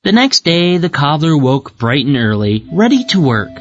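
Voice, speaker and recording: A narrator reading a children's story aloud in an expressive, deliberate storytelling way for young learners. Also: medium pitch, a little hiss or hum. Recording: muffled lo-fi audio with music behind. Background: music.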